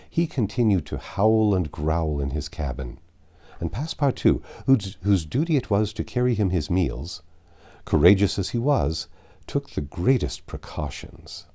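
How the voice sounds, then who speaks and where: A man reading a classic adventure novel aloud as an expressive narrator. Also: low-pitched, husky. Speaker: audiobook narrator.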